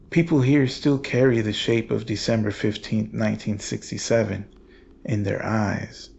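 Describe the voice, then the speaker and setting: A man playing a brooding, world-weary paranormal investigator in somber, measured first-person horror narration. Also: lowish voice, vocal fry, a little mellow. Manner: somber, measured, literary storytelling. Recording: dull audio, faint noise.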